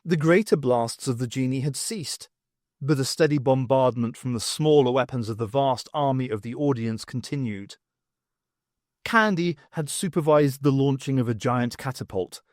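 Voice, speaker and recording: A man's voice: medium pitch, moderate pace, dry recording, studio quality. A man reading an audiobook as scripted, dramatic story narration.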